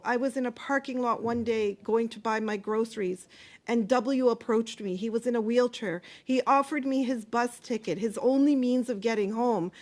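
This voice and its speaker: A woman giving earnest, impassioned testimony as a witness at a committee hearing, with a few hesitations, speaking into a desk microphone. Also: on the high side. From Canada. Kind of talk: formal testimony.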